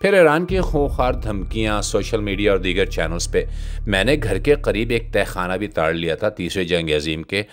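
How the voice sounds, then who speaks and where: A man's voice: fairly low pitch, expressive, moderate pace. A man delivering scripted satirical political commentary in a sardonic, literary way. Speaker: commentator, host.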